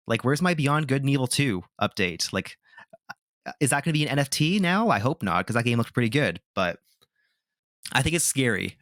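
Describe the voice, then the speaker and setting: A man's voice: medium pitch, a bit bright, dry recording, clean studio sound. A man hosting a gaming podcast, talking casually and giving his opinions with lots of filler words. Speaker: podcast host. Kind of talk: casual chat.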